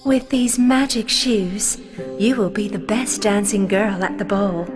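A woman voicing a kind, calm fairy mother who gently instructs and reassures in an animated fairy tale. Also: medium pitch, measured pace, over music, natural voice. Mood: gently instructing.

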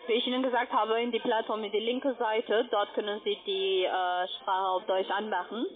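A woman's voice: medium pitch, little pitch movement, normal speed. A woman call centre agent with a foreign accent, not a native speaker, explaining things on the phone. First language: not German. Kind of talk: phone sales conversation. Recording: tinny phone line, busy call centre.

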